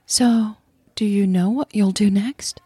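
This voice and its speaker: Woman voicing an anxious, caring young woman, husky. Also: mid-range voice, steady tone, takes it slowly, dry recording, clean studio sound. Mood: tentative, concerned. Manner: tentative, gently questioning.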